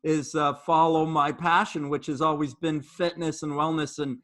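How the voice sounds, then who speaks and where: Earnest high-voiced middle-aged man. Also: little pitch movement, normal speed, a bit bright. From Jamaica, raised in Canada. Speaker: fitness trainer and host. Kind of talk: casual conversational interview.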